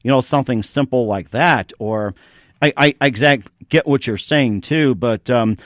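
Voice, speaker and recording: A man's voice: medium pitch, moderate pace, no echo, clean studio sound. A man hosting a casual interview, chatting and asking questions in a meandering, repetitive way. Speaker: interview show host.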